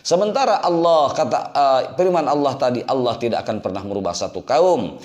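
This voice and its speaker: A man's voice: medium pitch, lively intonation, a little fast, darkish tone. A man from West Java preaches to a congregation as an Islamic teacher, explaining didactically with repeated phrases and rhetorical questions. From West Java, Indonesia. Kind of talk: religious sermon to a congregation.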